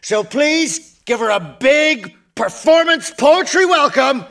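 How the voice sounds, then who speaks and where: A man's voice: very high voice, expressive, creaky, pushed and bright, heavily acted. An actor in a radio drama playing a charismatic male poetry-night compere, theatrical and full of hype. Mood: grand. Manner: theatrical, hyped, drawn-out build-up. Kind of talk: stage announcing in radio drama.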